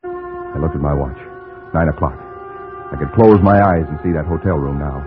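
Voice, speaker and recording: An American actor playing a desperate newspaper reporter, narrating in urgent, hard-boiled radio noir style. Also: male voice, extremely low pitch, huge pitch swings, moderate pace, heavily acted. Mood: tense, haunted. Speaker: lead actor and first-person narrator. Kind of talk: vintage radio noir acting. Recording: dull old radio broadcast with music.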